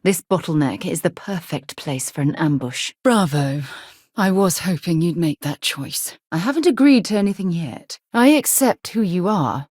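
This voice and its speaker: A woman's voice: fairly low pitch, expressive, moderate pace, a little mellow, no room sound, clean studio sound. A woman playing a composed, principled space explorer and former navy officer in a video game, speaking in an articulate, earnest way. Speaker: video game companion character. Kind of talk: acted game dialogue voice lines.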